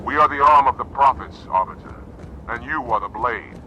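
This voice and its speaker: A man as a measured alien special-ops commander. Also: medium pitch, highs cut off, a little hiss or hum, lightly acted. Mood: stern.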